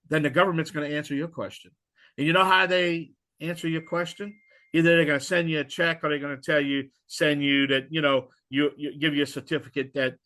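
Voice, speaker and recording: A male radio co-host talks in a plain, folksy way. His voice sits a touch high and comes across bright and forceful. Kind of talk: radio talk show banter.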